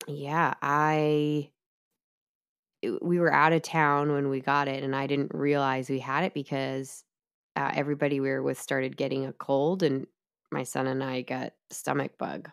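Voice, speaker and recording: A woman with a hoarse, tired voice, chatting casually as a podcast co-host and laughing often. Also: extremely low pitch, little pitch movement, measured pace. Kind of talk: casual chat.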